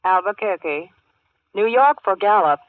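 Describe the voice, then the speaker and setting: A woman's voice: mid-range voice, lively intonation, measured pace, dry recording. Tinny, sing-song telephone operator woman. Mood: formal, businesslike. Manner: formal, sing-song, procedural. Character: brisk, formal female long-distance telephone operator. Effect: telephone filter. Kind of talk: 1940s radio acting. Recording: tinny phone line, noisy old radio recording.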